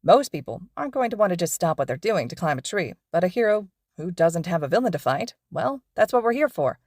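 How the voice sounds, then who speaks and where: A woman voicing a loud, cheerful male hero teacher with an exuberant, projecting manner. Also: fairly low pitch, big pitch swings, fairly quick, no echo, studio quality. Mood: upbeat.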